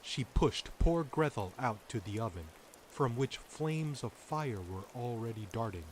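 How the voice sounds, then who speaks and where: A man voicing a wicked old woman, a witch who is mocking and greedy, in a shrill, commanding voice. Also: lively intonation, measured pace, a little mellow, a little hiss or hum, lightly acted, low-quality recording. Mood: scheming.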